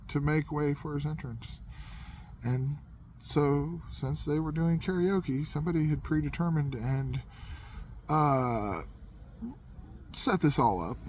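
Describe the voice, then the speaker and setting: A man's voice: on the high side, a little mellow, a little hiss or hum. A man casually telling a comedic anecdote in a rambling, self-deprecating way, as a podcast host would.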